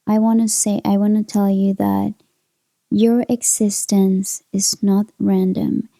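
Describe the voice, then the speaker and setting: A young woman hosts a podcast in English, her first language being Spanish. She talks in an earnest, encouraging way and repeats her key lines for weight. Her voice is mellow with a gravelly edge, recorded close and dry. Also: mid-range voice, no room sound. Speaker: podcast host. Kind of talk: motivational podcast monologue.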